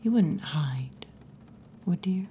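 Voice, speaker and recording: Hushed, low-voiced wolf girl bartender, acted by a woman. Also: lively intonation, slight background noise. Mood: intimate, probing. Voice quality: breathy, mostly whispered or half-whispered. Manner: slow, hushed, teasing, with long pauses. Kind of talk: ASMR roleplay, intimate scripted monologue. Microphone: close ASMR microphone.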